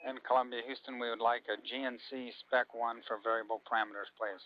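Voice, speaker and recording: A man's voice: mid-range voice, level tone, quick pace. A man speaking over a radio link as a mission control communicator, giving courteous, clipped procedural calls. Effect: radio transmission. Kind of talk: procedural spaceflight radio chatter. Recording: muffled lo-fi 1980s radio link.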